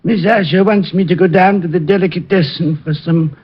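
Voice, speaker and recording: A man's voice: sits high, steady tone, brisk, breathy edge. A man acting a polite, formal manservant in an old radio detective drama. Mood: polite, deferential. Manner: formal, deferential. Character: polite, proper manservant, deferential. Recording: muffled old radio recording.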